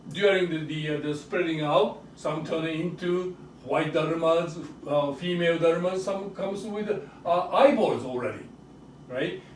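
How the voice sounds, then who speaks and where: Slow-paced Japanese-accented man in an echoey room. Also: fairly high pitch. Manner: simple short phrases, demonstrative, checks in with "okay?" and "right?". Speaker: author and presenter. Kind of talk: educational show-and-tell for children and families. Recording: roomy echo, distant mic, faint noise.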